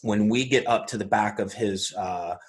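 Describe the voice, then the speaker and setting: Man, 40s, from Arizona, lowish voice; calm, methodical guest telling his story. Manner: measured, methodical, step-by-step telling. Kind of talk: recounting a personal story.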